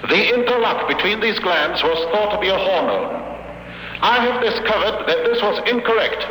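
Brief: a sneering mad-scientist villain, high and sharp, formal and mocking, 1940s radio sound. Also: male voice, animated, normal speed, reverberant, big-room sound, slight background noise, poor audio. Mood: lecturing. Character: gloating scientist villain, male, middle-aged, cold.

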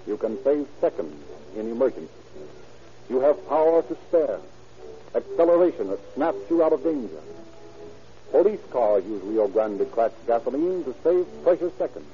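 American man, 1930s radio announcer, medium pitch, declamatory, deliberate, dull recording over faint music.

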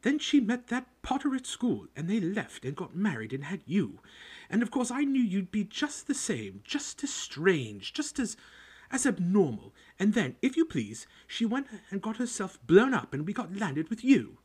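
Man voicing a shrill, spiteful ranting aunt. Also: huge pitch swings, slow, few pauses, breathy edge, in a whisper, exaggerated voice. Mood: bitter ranting. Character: shrill bitter middle-aged aunt, a woman. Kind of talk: audiobook character voice.

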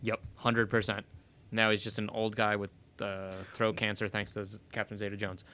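A man co-hosting a casual chat, animated, swearing freely and tossing off quick asides. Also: lowish voice.

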